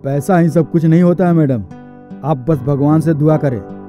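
A man voicing a grave, philosophical hospital doctor in a cartoon, speaking in a measured way. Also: on the high side, dark tone, soft background music, close to an everyday voice. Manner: solemn, measured.

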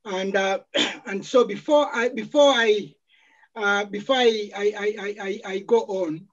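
Ugandan man, high voice, halting start. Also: steady tone, a bit bright, dull audio. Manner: hesitant, repeats words while starting. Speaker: guest lecturer. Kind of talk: formal lecture opening. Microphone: video call.

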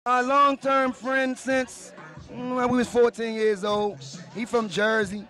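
A man with a raspy voice, interviewed at his own party in a dark club, talking in laid-back street slang. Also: very high-pitched, level tone, takes it slowly. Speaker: rapper being interviewed. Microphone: handheld mic held by interviewer. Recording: music playing behind.

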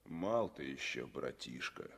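Casting: gruff, heartsick sailor driving a cart, low voice, husky, drawling grumbles, old radio play. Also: male voice, sing-song, fairly bright tone, heavily acted. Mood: grumbling. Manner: grumbling, slow, drawn-out calls to his horse. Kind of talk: 1960s Soviet radio drama acting.

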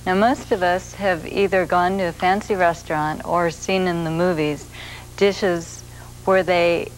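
A woman in her forties talks slowly and gently as she walks through a recipe on a studio kitchen set. Her voice sits a little low. The sound is an old videotape copy with clear hiss underneath. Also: steady tone, low-quality recording. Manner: slow, gentle and soft-spoken. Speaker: cooking show host. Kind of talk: TV cooking demonstration. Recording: grainy 1980s videotape with noise.

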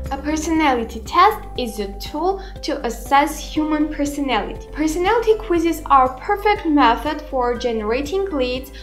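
Young woman, very high voice, deliberate tutorial narration, roomy echo, music behind. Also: animated. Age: 20s. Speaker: tutorial presenter. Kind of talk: scripted narration, software tutorial. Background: background music.

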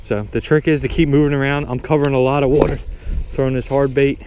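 A young man giving casual, excited fishing commentary to viewers outdoors by a pond, recorded on a head-mounted action camera. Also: mid-range voice, quick pace, smooth, rough recording. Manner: excited, talking to viewers while casting. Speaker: fishing vlogger.

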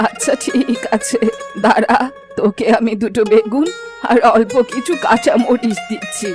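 A woman's voice: fairly high pitch, steady tone, normal speed, natural voice. A woman voicing a chatty old village granny in a cartoon. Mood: chatty, kindly. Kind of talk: cartoon dialogue. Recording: background music and crowd bustle.

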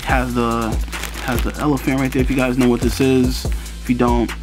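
A man's voice: mid-range voice, little pitch movement, normal speed, music in the background. Laid-back young man chatting casually. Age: young adult. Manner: laid-back, chatty, lots of "you know" fillers. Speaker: sneaker and streetwear vlogger. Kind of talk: casual unboxing vlog. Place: bedroom with sneaker boxes, indoors.

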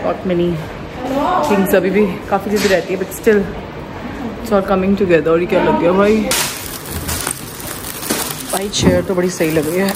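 A woman's voice: on the low side, fairly even intonation, measured pace, pauses often, a loud crowd, low-quality recording. A woman, chatting casually for a vlog and mixing in English phrases. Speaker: vlogger and salon owner. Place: indoor salon rooms being set up. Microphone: camera held at arm's length. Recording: loud room noise, handheld camera audio. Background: loud ambient room noise.

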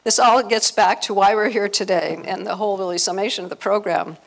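Older American woman, creaky-voiced event speaker. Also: mid-range voice, fairly even intonation, brisk, rough recording. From Los Angeles, United States. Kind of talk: informal public talk to an audience. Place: hotel conference room, indoors. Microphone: handheld microphone through PA.

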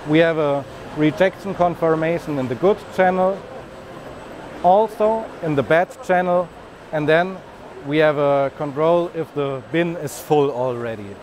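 Man in his 30s, slightly high voice, explains a machine on the move amid loud trade fair chatter. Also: a little slow. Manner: explanatory, walks and points while talking. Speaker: business development manager. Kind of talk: product demonstration. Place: large busy trade fair hall. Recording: noisy trade fair hall.

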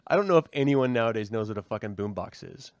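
An American man hosting a casual discussion, speaking reflectively with halting phrasing and the odd swear word. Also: medium pitch, animated, dry recording, clean studio sound. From Ogden, Utah, United States. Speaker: host of a reading and discussion show.

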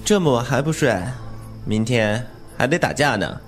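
A man's voice: medium pitch, lively intonation, moderate pace, soft background music, lightly acted. A man playing a glib, cheeky young swordsman in a Chinese martial-arts radio drama, with a teasing, flippant manner.

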